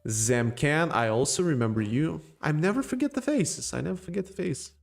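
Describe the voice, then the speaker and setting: A man's voice: on the high side, animated, normal speed, gravelly. A man with a non-native English accent hosting a casual crypto live stream, joking and rambling as he answers chat. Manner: rambling, joking, with laughs and restarts.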